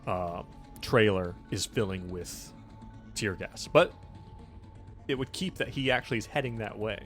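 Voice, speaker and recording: Low-voiced man game-mastering over music. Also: animated, fairly bright tone. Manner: informal, halting, teasing. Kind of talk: improvised tabletop game narration and banter.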